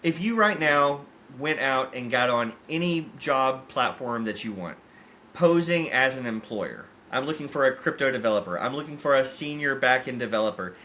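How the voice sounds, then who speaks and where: A man's voice: mid-range voice, expressive, moderate pace, bright, forceful tone, slight background noise. A man in an interview, explaining his findings as a researcher in a direct, matter-of-fact way. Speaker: security researcher.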